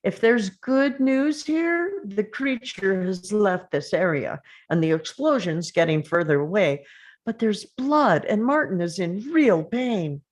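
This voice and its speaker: A woman narrating the action lines of a screenplay at a table read, steady and measured. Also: medium pitch.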